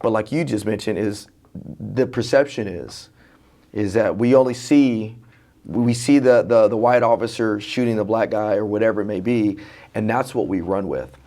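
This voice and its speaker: A man's voice: fairly low pitch, normal speed, dark tone. A man hosting a podcast interview, affirming his guest and prompting him with questions. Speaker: podcast host. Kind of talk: conversational interview.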